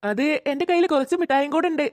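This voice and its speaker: A performer voicing a good-natured family man in a cartoon, with a pitched-up, childlike sound. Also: rapid-fire, dry recording, close to an everyday voice. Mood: coaxing. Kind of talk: Malayalam family cartoon dialogue.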